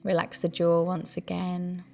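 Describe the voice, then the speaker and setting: A woman leading a guided breathing meditation, giving gentle, soothing instructions in a calm, unhurried way. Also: fairly low pitch, gravelly, faint music behind.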